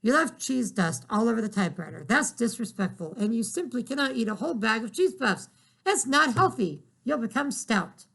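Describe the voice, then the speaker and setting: A man reading aloud, voicing a scolding, absent-minded mother who is a writer, with a slightly gravelly tone. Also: extremely high pitch, sing-song, normal speed, bright, forceful tone, full character voice, low-quality recording. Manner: scolding, impatient.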